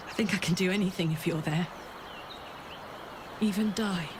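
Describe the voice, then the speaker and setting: A woman's voice: fairly low pitch, normal speed, noisy background, lightly acted. A woman acting a brash but tender warrior who is grieving her own coming death in a video game, raw and heartfelt. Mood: tender, sad.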